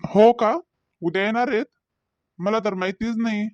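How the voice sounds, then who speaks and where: A man voicing a young husband in a comedy cartoon, talkative and sly, with hesitant pauses. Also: high-pitched, steady tone, no room sound, muffled recording, close to an everyday voice. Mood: easygoing. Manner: talkative, wheedling, hesitant fillers.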